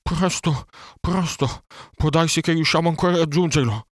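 A man acts out an anxious old male bear in a storybook. The voice is raised and loud, huffing and agitated. It laments with heavy remorse, recorded dry and close. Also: on the high side, moderate pace, dry recording, pitched above the performer's own voice, close to an everyday voice. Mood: frantic.

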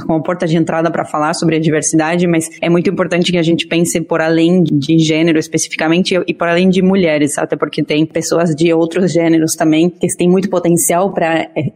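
Low, even-toned Argentine woman. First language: Spanish. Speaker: panel guest. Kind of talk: explanatory panel discussion.

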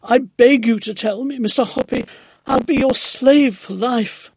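A man voicing a sweet, gentle, excitable woman from a storybook who dotes on her pet, in a gushing, pleading manner. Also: sits high, normal speed, creaky, a little hiss or hum, pitched above the performer's own voice, heavily acted. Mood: eager, begging. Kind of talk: storybook character voice.

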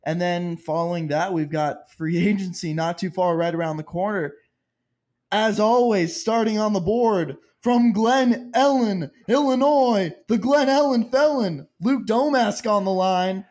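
A man hosting a sports podcast, playful and teasing, who rattles off sponsor plugs quickly. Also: sits high, a little mellow. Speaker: podcast host. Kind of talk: casual sports podcast chat.

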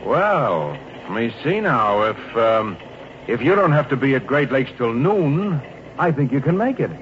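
An actor playing a kindly, chatty middle-aged traveling salesman with a folksy, avuncular, unhurried manner in 1940s radio drama. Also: medium pitch, big pitch swings, fairly bright tone. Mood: helpful, persuasive. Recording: noisy muffled old radio recording.

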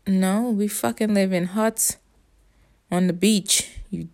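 A woman's voice: medium pitch, fairly even intonation, slow, darkish tone. A woman from the Bahamas with a Bahamian accent, rambling in a casual monologue, blunt and profane, with an exasperated edge. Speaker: vlogger.

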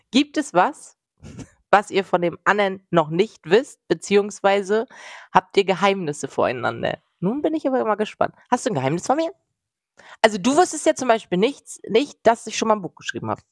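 A woman's voice: medium pitch, sing-song, moderate pace, no echo, clean studio sound. A woman with a scratchy voice chatting casually and teasingly as a podcast co-host. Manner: playful, teasing. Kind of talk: casual chat.

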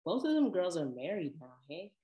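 A young woman with a deep voice, chatting casually and telling personal stories to her viewers, with frequent laughs and lively asides. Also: a little fast, echoey room, dull audio. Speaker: vlogger. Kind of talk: casual personal storytelling to camera.

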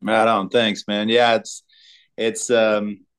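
A singer-songwriter from Colorado, a man, answering interview questions in a laid-back, halting way with many ums. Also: fairly low pitch, little pitch movement, a bit bright, clear, resonant tone. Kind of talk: casual interview chat.

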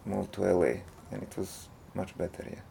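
Gravelly man, hesitant. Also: sits low, steady tone, moderate pace, warm tone, slight background noise. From Croatia. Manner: hesitant, many fillers and restarts. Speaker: interview guest, monk. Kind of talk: casual interview talk.

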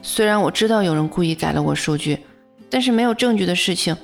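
A woman's voice: lowish voice, lively intonation, fast talker, vocal fry. An actress playing a modest young female office worker in an audio drama, in first-person narration and dialogue. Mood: puzzled. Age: young adult. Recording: music bed behind the voice.

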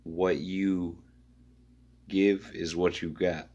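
A man musing aloud in a rambling spoken-word style, reading his own notes in halting fragments. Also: low voice, level tone, darkish tone, highs cut off.

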